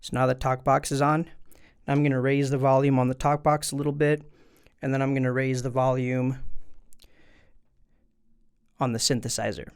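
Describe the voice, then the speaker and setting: A man with a smooth, clean voice at an average pitch walks viewers through instructions. He speaks informally and straight to the listener, step by step, into a studio microphone. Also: level tone, moderate pace, long pauses. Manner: informal, talking directly to viewers. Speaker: tutorial presenter. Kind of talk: casual step-by-step instructional explanation. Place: indoors at a desk. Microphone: studio microphone through an audio interface.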